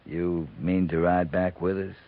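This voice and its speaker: An actor playing a steady, wary American cavalry captain, terse and controlled, in a 1950s radio drama. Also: male voice, sits low, a little slow, no echo. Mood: wary, measured. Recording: muffled, thin 1950s radio recording.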